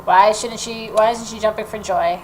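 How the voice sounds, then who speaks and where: A woman hosting a gaming video, reading the story narration aloud and adding expressive reactions of her own. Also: mid-range voice, normal speed, low-quality recording. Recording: noticeable background noise.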